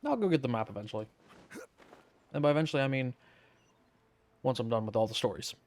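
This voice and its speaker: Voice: mid-range voice, expressive, quick pace, a little mellow. A streamer giving casual, offhand live game commentary with sweary asides. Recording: dry voice over game music.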